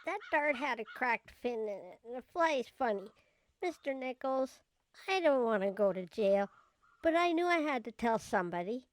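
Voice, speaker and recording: Woman playing an earnest high-voiced scrapyard girl. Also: lively intonation, moderate pace, dry recording, lightly acted. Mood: worried, apologetic. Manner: naive, chatty, folksy kid phrasing. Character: earnest scrappy young girl who hangs around a scrapyard. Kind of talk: old-time radio mystery acting.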